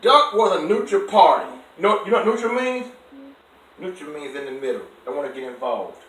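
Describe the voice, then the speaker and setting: A man reading a bedtime story to a child in an expressive way, pausing to ask about and explain words in simple terms. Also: on the high side. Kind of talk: animated read-aloud with explanations for a child. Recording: echoey room, distant microphone.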